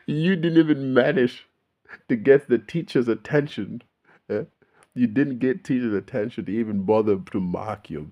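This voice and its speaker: A man's voice: fairly low pitch, muffled recording. A man with a Melbourne Australian accent, talking casually straight to his viewers in a laid-back, swearing monologue. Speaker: YouTuber.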